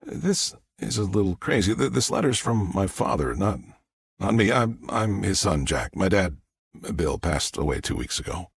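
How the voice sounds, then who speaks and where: A man's voice: deep voice, sing-song, gravelly, breathy edge, no room sound, studio quality, exaggerated voice. A man playing a rattled, grieving adult son who stammers and halts through his lines in an audiobook. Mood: flustered. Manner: stammering, halting, flustered. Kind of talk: audiobook character dialogue.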